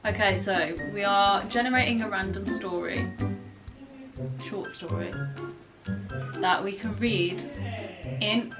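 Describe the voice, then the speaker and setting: A woman's voice: medium pitch, animated, takes it slowly, long pauses. A young woman hosting a vlog in casual chat, with a playful, giggly way of talking. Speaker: vlog host. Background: music.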